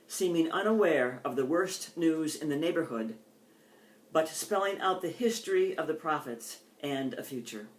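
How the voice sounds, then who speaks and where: An older woman with an American accent, a poet reading her own poems in deliberate phrases and introducing them informally. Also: sits low, poor audio. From United States.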